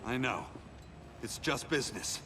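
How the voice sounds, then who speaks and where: A man's voice: medium pitch, lively intonation, measured pace, heavy background noise, lightly acted. An actor playing an earnest, weary male superhero seeking redemption in a dramatic video game cutscene. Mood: defiant. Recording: loud cinematic music behind.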